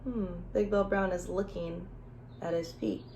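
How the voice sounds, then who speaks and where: A woman's voice: on the low side, steady tone, a little slow, darkish tone, slight background noise. A woman teacher reading a children's storybook aloud, expressively, with questions and asides addressed straight to young listeners.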